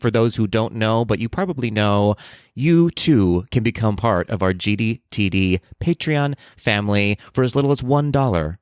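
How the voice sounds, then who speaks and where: A man with a fairly low voice co-hosts a podcast in an easygoing way, laughing often. He is recorded close and dry on a studio mic. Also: expressive, normal speed, clear, resonant tone, no echo. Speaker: podcast co-host. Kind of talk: casual chat. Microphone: headphones and studio mic.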